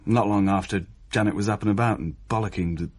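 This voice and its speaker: A man's voice: lowish voice, quick pace, darkish tone, lightly acted. An actor playing a wry young English fiancé, telling his story conversationally in a radio play. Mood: wry, exasperated. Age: young adult. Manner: wry, matter-of-fact storytelling. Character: wry young fiancé recounting a chaotic haunted night.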